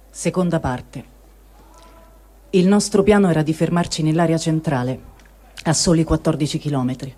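A man with a fairly high, mellow voice reads from a diary. It is a literary reading for radio, soft in tone. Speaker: reader of written testimony on radio.

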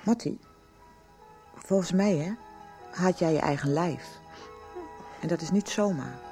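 An actress playing a concerned, insistent doctor questioning a young patient in a radio soap. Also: female voice, fairly low pitch, mellow, soft background music. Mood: probing, gentle. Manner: direct, insistent, deliberate questioning. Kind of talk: Dutch radio soap acting.

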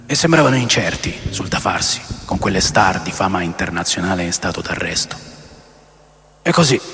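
An actor playing a restless, world-weary man who recounts wild rock-and-roll memories in a gravelly, theatrical radio drama narration. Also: low-pitched, sharp tone, exaggerated voice. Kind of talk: dramatic first-person scripted narration. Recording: long studio reverb under music. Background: music.

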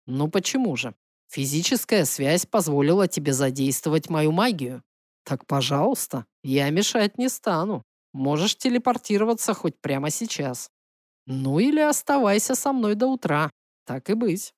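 Женщина в роли низкоголосого самоуверенного принца. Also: female voice, deep voice, very animated, darkish tone, no echo, clean studio sound, pitched below the performer's own voice. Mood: coaxing.

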